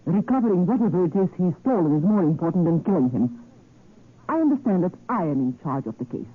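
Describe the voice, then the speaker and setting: An actress playing a determined, crisp young woman secret agent in a 1940s radio drama. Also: fairly low pitch, big pitch swings, fast talker. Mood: commanding. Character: determined, daring woman secret agent in her late twenties. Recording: tinny vintage radio, faint music.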